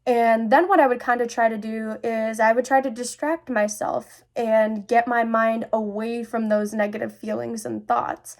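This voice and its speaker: A woman's voice: a little high, steady tone, moderate pace, few pauses. A young adult woman speaking earnestly and confidingly, as a host sharing personal experiences. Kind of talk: personal talk to camera about mental health.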